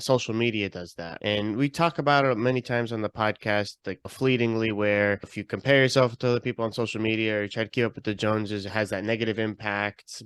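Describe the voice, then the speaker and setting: A man's voice: on the low side, a bit bright, clear, resonant tone, no room sound. A young adult man hosting a podcast, talking and asking questions in a casual, conversational style. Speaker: podcast host.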